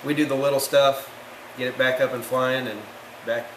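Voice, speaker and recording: A man's voice: mid-range voice, little pitch movement, normal speed, a bit bright. American man in an echoey, noisy room. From Rochester, New York, United States. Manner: plain-spoken, explanatory, frequent you-knows. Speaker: military aircraft maintainer, demo team chief. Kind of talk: informal interview answers. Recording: echoey room with clear background noise.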